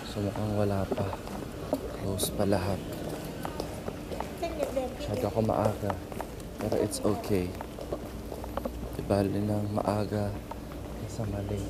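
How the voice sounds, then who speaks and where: A Filipino man chatting casually and informally as a travel vlogger, switching between two languages. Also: fairly low pitch. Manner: informal, mixes Tagalog and English. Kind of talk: casual vlog chat. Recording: very noisy handheld travel recording, ambient din.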